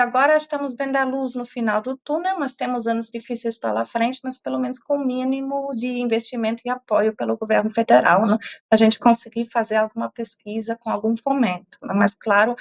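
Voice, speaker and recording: Woman a lilt, a bit muffled. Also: a little high. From Portugal. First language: German. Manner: thoughtful, many fillers and restarts. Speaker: university professor. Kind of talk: interview answers.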